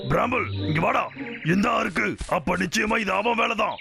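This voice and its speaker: A man voicing a bossy, scheming elder brother bear in a cartoon dub, gravelly and commanding. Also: high voice, brisk, music in the background. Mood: determined.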